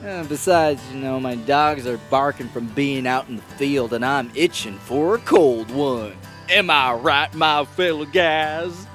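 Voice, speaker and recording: A man playing a cocky, whiny, youthful guy in an audio drama, excitable and full of complaints. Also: medium pitch, measured pace, hardly any pauses, faint music behind, natural voice. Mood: cocky, joking.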